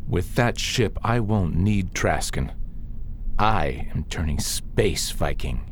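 A man's voice: deep voice, expressive, slow pace, a little hiss or hum. An actor playing a grieving young nobleman who is wounded and grimly set on vengeance in a science fiction audio drama. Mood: resolute.

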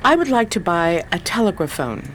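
A shrewd, wry lady sleuth from a 1920s radio crime play, acted by a woman. She is brisk and self-assured, with a slightly low voice and very animated intonation over faint noise. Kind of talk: old-time radio drama acting.

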